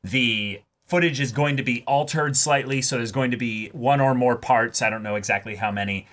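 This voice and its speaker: Male reaction-video host, bright forward voice, animated casual chat with halting, repeated phrasing. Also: mid-range voice. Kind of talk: casual chat, reading out viewer comments.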